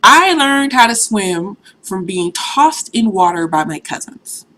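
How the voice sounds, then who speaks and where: A woman's voice: mid-range voice, sing-song, a little slow, fairly bright tone, poor audio. A woman in her thirties from Boston, chatting candidly in a casual vlog with a faint Boston accent that shows now and then, full of "you know.". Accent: light Boston. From Boston, USA. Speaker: vlogger. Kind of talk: casual talking-to-camera vlog.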